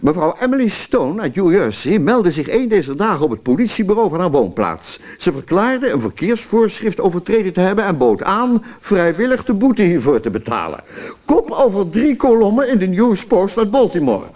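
A man's voice: sits high, big pitch swings, normal speed, a little mellow. A man playing a pushy, sly newspaperman, cocky and teasing, in a Dutch radio comedy. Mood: proclaiming. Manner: teasing, insistent questioning.

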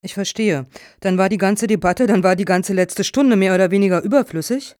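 A woman acting in a radio satire as a brisk, formal foundation juror with a businesslike, measured manner. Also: medium pitch, darkish tone, dry recording, studio quality, lightly acted. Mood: probing, disappointed.

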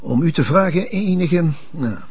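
An actor playing a pompous, influential notary in a TV drama, speaking with a Flemish accent, formal and hesitant, slipping into French phrases. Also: male voice, a little high, expressive, measured pace, a little mellow, slightly rough, no echo. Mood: confiding. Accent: Flemish Belgian. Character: smooth-mannered, pompous, influential male notary. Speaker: actor in a police drama series.

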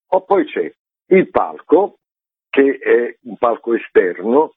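A man's voice: medium pitch, slightly rough, dry recording. A man giving spontaneous answers as a theatre director in a radio interview. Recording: narrow, tinny phone-like line, dry.

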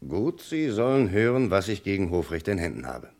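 Insistent police commissioner, lively pitch. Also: male voice, moderate pace. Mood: insistent, assured. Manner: precise, emphatic, probing. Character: insistent, methodical male police commissioner interrogating a suspect. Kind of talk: German radio crime play acting.

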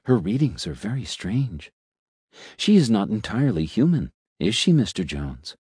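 A man voicing a polite, complacent talking elevator that muses like a philosopher, speaking softly and dryly. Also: fairly low pitch, sing-song, moderate pace, slightly rough, no echo, studio quality, exaggerated voice. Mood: musing. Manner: polite, complacent, quietly musing.

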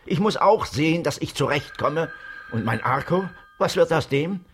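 A man's voice: fairly high pitch, animated, normal speed, a bit bright, slight background noise. An actor playing a shifty con man in his fifties in a radio crime comedy, protesting his innocence in a self-pitying whine. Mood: indignant, self-pitying. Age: 50s.

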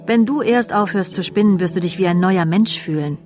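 A woman's voice: medium pitch, brisk, darkish tone, soft background music, close to an everyday voice. An actress playing a possessive, jealous woman in a radio crime drama, pointed and confiding. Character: possessive, jealous, needling woman recounting a feud. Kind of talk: German radio crime drama, dialogue and confessional narration.

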